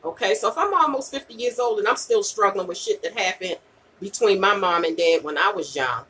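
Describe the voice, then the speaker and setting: Forceful, husky woman in her late forties. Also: medium pitch, expressive, brisk, recorded from a distance. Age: late 40s. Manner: emphatic and repetitive, punctuated with "okay?" and "you know"; candid, colloquial, slangy. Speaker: vlogger and podcaster chatting live with viewers. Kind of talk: casual livestream chat giving personal advice.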